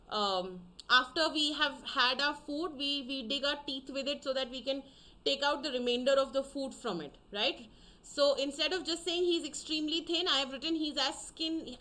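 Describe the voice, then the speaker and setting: A young woman teaching an online class, explaining slowly with many fillers and repeating herself for her students. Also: high voice, sharp tone. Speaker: English teacher.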